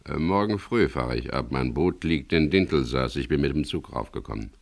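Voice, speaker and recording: Laconic skipper for 1970s crime radio play: weary, stubborn, extremely low gravelly voice. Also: male voice, lively intonation, moderate pace, heavily acted. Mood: guarded, dry. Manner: laconic, understated. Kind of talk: 1970s radio drama acting and first-person narration.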